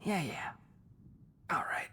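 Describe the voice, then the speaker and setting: A weary, slow-spoken wounded young healer. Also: male voice, bright, forceful tone, whispered. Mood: weary, grateful. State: weary, in pain, sighing. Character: wounded young male healer, stubborn, dry and caring. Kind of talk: video game character acting.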